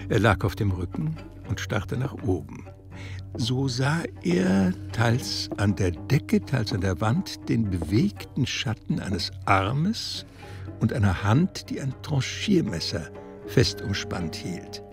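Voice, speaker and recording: A man narrating a literary radio play in a measured, dramatic storytelling manner. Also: medium pitch, very animated, creaky. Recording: studio production with music underneath. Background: music.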